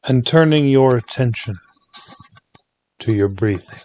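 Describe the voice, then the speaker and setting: A man leading a guided meditation in a soothing, hushed manner, leaving long pauses between short phrases. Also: mid-range voice, lo-fi sound.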